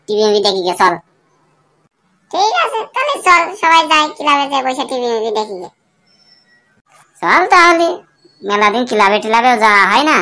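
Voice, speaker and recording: Woman acting a brash, bright-voiced cartoon village lad. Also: a little high, slight background noise. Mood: crude banter. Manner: slangy, coarse, bantering. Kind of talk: cartoon comedy dialogue.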